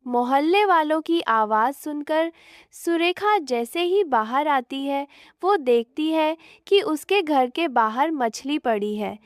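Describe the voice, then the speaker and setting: A woman's voice: high-pitched, lively intonation, slow pace, no room sound, clean studio sound. A woman narrator reading a scripted story.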